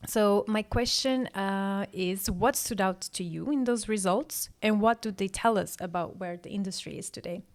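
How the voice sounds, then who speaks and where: A woman's voice: mid-range voice, normal speed, hardly any pauses. A woman with a Portuguese accent co-hosting a business podcast, speaking in a friendly way with some fillers. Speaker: podcast co-host. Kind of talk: casual hosting.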